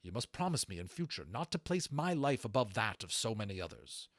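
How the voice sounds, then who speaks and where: A man's voice: fairly low pitch, sing-song, fairly quick, dry recording. A man acting a stern, dutiful and courteous military captain in an audiobook, formal and firm. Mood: grave, gentle.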